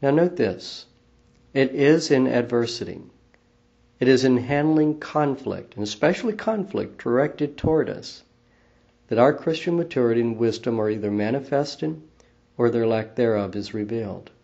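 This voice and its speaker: A soft-spoken male minister with a gravelly, low-key voice, reading a devotional message in a calm and earnest way. His tone is mellow and the recording sounds a little dull and lo-fi. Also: moderate pace. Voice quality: quiet voice. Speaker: executive minister of a church. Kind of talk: scripted devotional talk.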